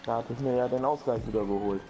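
A man's voice: medium pitch, fast talker, mellow, muffled recording, slight background noise. A man co-playing a racing game, laid-back and teasing, laughing often. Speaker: co-player. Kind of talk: casual let's-play chat.

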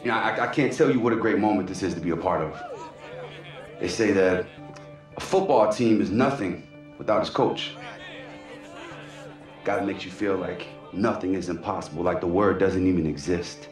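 A man's voice: low-pitched, normal speed, long pauses, lightly acted. A man playing a polite, humble young quarterback in a game cutscene, talking casually and a little hesitantly. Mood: moved. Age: young adult. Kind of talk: naturalistic game cutscene acting. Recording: roomy echo, music under the voice.

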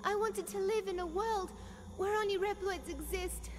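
A woman voicing a gentle young android girl in a game cutscene, pleading and breathless. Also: extremely high pitch, fairly even intonation, moderate pace. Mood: sad, tender. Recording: reverberant, noisy cutscene audio.

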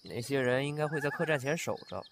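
A man's voice: mid-range voice, quick pace, gravelly, a little hiss or hum. A man voicing a kind, flustered young scholar in a costume radio drama, chatty and fretful. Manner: chatty, animated, fretful inner asides. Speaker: voice actor.

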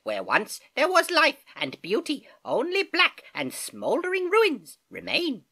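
Squeaky toucan, very high, loud, bright, storybook bird. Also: wildly swooping pitch, measured pace, full character voice. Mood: pleading.

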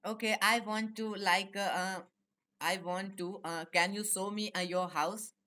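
Bright-voiced young Indian man, halting speech. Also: high voice, steady tone. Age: young adult. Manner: halting, repetitive questioning in simple English. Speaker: video call vlogger. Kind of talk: casual chat with strangers. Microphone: phone on a video call.

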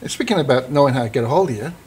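A man's voice: medium pitch, expressive, rapid-fire, darkish tone, husky. A middle-aged man hosting a casual talk show on a small studio set, rambling and repeating himself. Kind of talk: casual chat.

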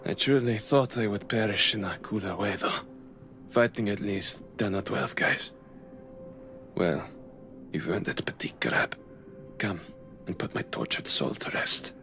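A grandiose undersea warrior from a video game, world-weary and chivalrous. The voice is creaky and sharp-edged, the delivery theatrical and formal. Music plays behind it. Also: male voice, fairly low pitch, lively intonation, measured pace, full character voice. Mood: weary, resigned. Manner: theatrical, archaic, formal phrasing. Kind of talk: video game character acting.

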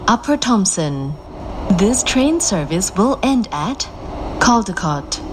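Woman, train announcer, scripted, formal, unhurried delivery, animated pitch movement, loud vehicle noise. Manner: measured, clearly enunciated, formal. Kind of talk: scripted recorded transit announcements. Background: loud moving train ambience.

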